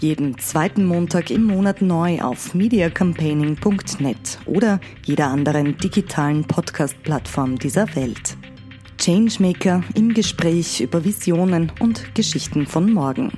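A woman reading a scripted podcast promo in a smooth, promotional manner. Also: fairly low pitch, normal speed, warm tone. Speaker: podcast announcer. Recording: voice over faint music.

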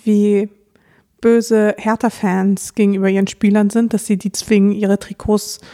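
A woman's voice: medium pitch, flat delivery, a little slow, mellow. A young woman, chatting casually as a podcast co-host and making dry, teasing remarks.

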